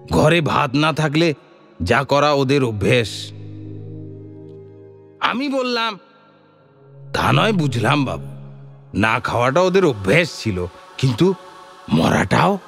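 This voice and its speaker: A man's voice: medium pitch, gravelly, husky, exaggerated voice. An actor playing a rustic male bandit, telling bitter tales in an emphatic, theatrical way, full of rhetorical questions, for an audio drama. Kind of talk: dramatised audio story dialogue.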